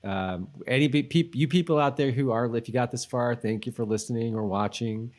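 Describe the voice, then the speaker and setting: A man co-hosting a podcast in casual chat, talking in a halting way and repeating himself as he thinks aloud. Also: medium pitch, darkish tone. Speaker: podcast co-host.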